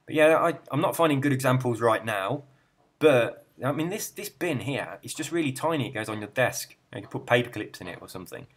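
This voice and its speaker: A middle-aged man chatting casually, rambling and easily sidetracked as he browses a website, with a soft, relaxed way of speaking. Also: medium pitch, fairly quick, slightly rough. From England. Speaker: YouTuber and hypnotist.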